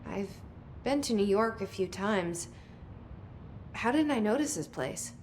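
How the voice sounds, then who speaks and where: A woman's voice: mid-range voice, lively intonation, a little slow, a little mellow, a little hiss or hum, close to an everyday voice. An actress voicing a wary young woman newcomer in a game, asking short, direct questions.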